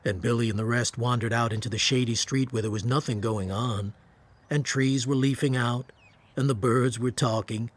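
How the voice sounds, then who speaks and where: An actor playing a reflective war-veteran narrator in a radio drama, telling a grim story in a measured, understated, matter-of-fact way. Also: male voice, medium pitch, steady tone, close to an everyday voice.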